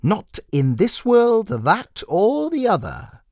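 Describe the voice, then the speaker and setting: A man voicing a menacing supernatural jester in a dramatic fantasy story, speaking with slow, theatrical menace. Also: high-pitched, wildly swooping pitch, darkish tone, dry recording, studio quality, voice pushed up, exaggerated voice. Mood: taunting. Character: menacing male jester, a sinister supernatural clown.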